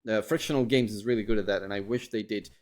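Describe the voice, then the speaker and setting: A man hosting a casual interview podcast, speaking in a halting, rambling way and often repeating his words. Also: fairly low pitch, steady tone.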